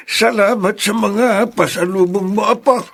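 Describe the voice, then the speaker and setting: An actor playing a kindly, worried elderly grandfather in a radio drama, with a gravelly, husky voice and a halting manner. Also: high-pitched, fairly even intonation, a bit bright, heavily acted. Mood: fond, curious.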